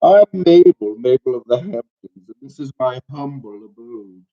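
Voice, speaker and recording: A man's voice: medium pitch, expressive, natural voice. A man with a stuffy nose voicing a haughty, spoiled five-year-old female dog as he reads a children's book aloud. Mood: grand. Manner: haughty, grand, drawn-out. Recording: dull lo-fi recording.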